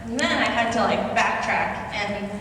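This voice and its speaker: A woman's voice: mid-range voice, a little slow, bright, forceful tone, slight background noise. A young woman with an American accent, raised in Singapore, telling a personal story at a conference and acting out bits of dialogue in a conversational way. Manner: conversational, acts out dialogue with shifting voices. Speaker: conference speaker. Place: university conference hall. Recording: distant, echoey hall with reverb.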